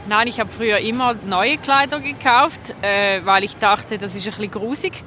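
A woman's voice: medium pitch, normal speed, bright, forceful tone. A woman with a Swiss accent giving casual, spontaneous answers in a street interview. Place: outdoors on a city street. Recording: outdoor street noise with traffic.

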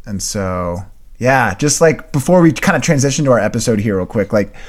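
A young man co-hosting a faith podcast, talking in a relaxed way with lots of "like". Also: medium pitch, brisk. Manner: relaxed, many "like" fillers. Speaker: podcast co-host. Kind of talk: casual chat.

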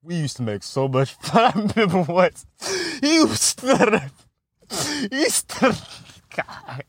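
A man's voice: high voice, sing-song, unhurried, dry recording, studio quality. A young man hosting a podcast, rambling casually with lots of laughter and swearing, and a stutter. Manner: laughing, profane, self-mocking. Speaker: podcast host.

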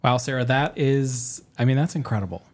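A man's voice: medium pitch, fairly quick, over loud music. A man co-hosting a podcast in a casual, chatty style. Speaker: podcast co-host.

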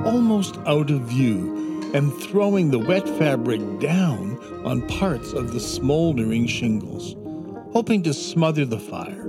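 A man narrating a scripted children's adventure story in a measured, dramatic storytelling manner. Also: on the high side. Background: instrumental music.

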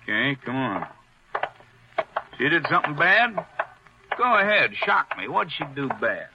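A man's voice: on the high side, sing-song, a little slow, noisy background. A man acting a cynical, wisecracking hotel detective in 1940s radio style, sardonic, slangy and needling. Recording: muffled vintage radio recording.

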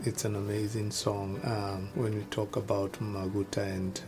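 A man's voice: lowish voice, level tone, normal speed, music in the background. A man from Kenya, a guest telling an earnest faith story in a preacherly, repeating way. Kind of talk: testimony in conversation.

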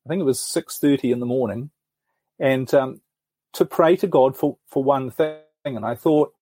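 Husky new guy, middle-aged, thinking out loud telling his story, dry close mic. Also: medium pitch, moderate pace, no echo. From New Zealand. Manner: earnest, thoughtful storytelling with frequent restarts. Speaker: podcast guest. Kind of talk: reflective life story in an interview.

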